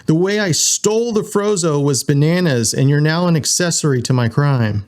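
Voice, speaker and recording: Casting: man to voice a cheeky male corgi space thief for an audiobook, pitch lifted, cocksure. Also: normal speed, a little mellow, lightly acted. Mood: teasing.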